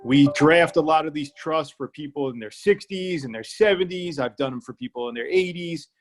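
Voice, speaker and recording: A man with an Irish accent and a slightly high voice speaks as an elder law attorney at a seminar. He is plain-spoken and comes back to key points again and again to drive them home. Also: normal speed. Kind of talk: public library seminar talk. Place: remote online presentation.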